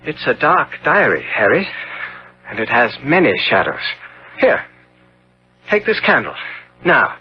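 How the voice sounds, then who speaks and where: An actor playing a corrupt, secretive young gentleman in a theatrical, insinuating manner, in 1970s radio drama style. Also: medium pitch, big pitch swings, a little slow, pauses often, slightly rough, full character voice. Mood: darkly ominous. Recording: dull, hissy old radio broadcast.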